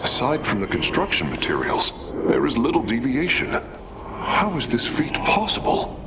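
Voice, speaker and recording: A man's voice: medium pitch, huge pitch swings, moderate pace, heavy background noise. An actor playing a thoughtful, curious male alien engineer in a video game, precise and formal in his phrasing. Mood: intrigued. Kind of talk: scripted game cinematic acting.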